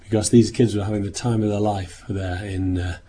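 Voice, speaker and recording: A man giving a sermon in a meeting room, speaking hoarsely as he nearly loses his voice, rambling with many restarts. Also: lowish voice, fairly even intonation, fairly quick, warm tone. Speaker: minister. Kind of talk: sermon opening with travel account.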